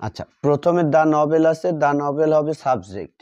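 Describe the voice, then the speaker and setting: A man teaching English grammar, repeating words slowly as he writes them out. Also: on the high side, expressive, a little mellow. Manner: slow, repeats words while writing them out. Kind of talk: slow classroom explanation while writing. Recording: dull lo-fi audio.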